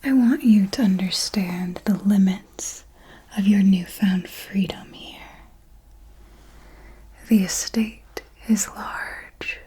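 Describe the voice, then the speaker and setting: Woman playing a slow, whispering seductive vampire mentor. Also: medium pitch, heavily acted. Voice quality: soft breathy whisper. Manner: slow, deliberate, velvety, formal and teasing. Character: seductive, possessive female vampire mentor, elegant and commanding. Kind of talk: whispered ASMR roleplay.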